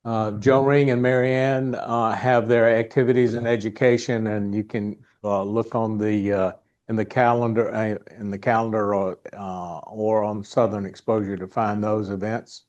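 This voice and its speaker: A man hosting a meeting, giving informal announcements and introducing a guest in a halting, easygoing way. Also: lowish voice, little pitch movement, a little mellow, lo-fi sound. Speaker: club meeting host. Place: indoor meeting room.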